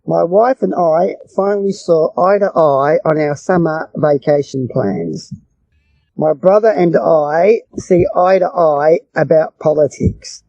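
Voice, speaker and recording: Male English lesson reader, slightly high but mellow, creaky, scripted delivery. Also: takes it slowly, no room sound, dull audio. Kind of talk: scripted read-aloud, explaining idioms and passages.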